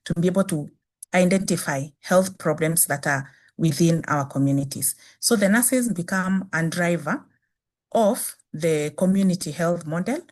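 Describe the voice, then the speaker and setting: A woman with a Kenyan accent, a native Swahili speaker, giving a measured webinar presentation on nursing with frequent restarts. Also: on the low side. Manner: measured, repeats phrases with restarts. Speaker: guest speaker, nursing dean.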